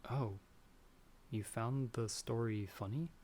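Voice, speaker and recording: A man playing a timid young traveler in an ASMR audio roleplay, speaking softly and hesitantly. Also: mid-range voice, slow pace, natural voice. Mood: curious, uneasy. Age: young adult. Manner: soft, hesitant, stammering when afraid. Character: timid young male traveler, storyteller trapped by a vampire. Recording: background storm noise.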